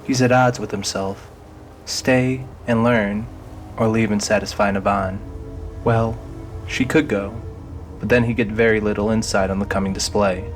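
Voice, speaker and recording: A man's voice: lowish voice, steady tone, moderate pace. A man reading scripted, dramatic narration for an audio drama. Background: music.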